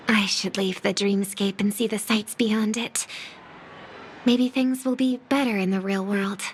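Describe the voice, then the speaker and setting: A woman voicing an earnest, watchful and worried young singer in a video game, soft and hesitant in manner. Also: medium pitch, steady tone, slow, pauses often, slight background noise, natural voice. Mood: apologetic, wistful. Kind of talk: scripted game dialogue, inner monologue.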